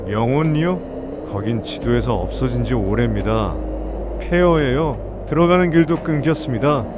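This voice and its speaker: A man's voice: medium pitch, expressive, moderate pace, noisy background, natural voice. A man playing a stern soldier on guard, speaking in a curt, official way. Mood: stern warning. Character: stern male soldier guarding a restricted zone.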